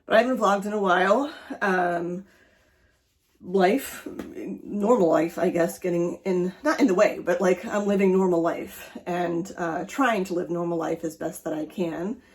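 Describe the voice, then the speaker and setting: A woman's voice: on the low side, measured pace. A middle-aged woman raised speaking German, talking in a rambling, chatty way for her personal vlog, with many fillers. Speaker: vlogger.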